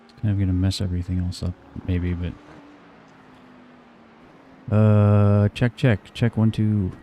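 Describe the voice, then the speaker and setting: A man with a quiet voice, streaming a sim race and chatting casually in a low-key, mumbly way while he tests his mic. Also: monotone, measured pace, a little hiss or hum.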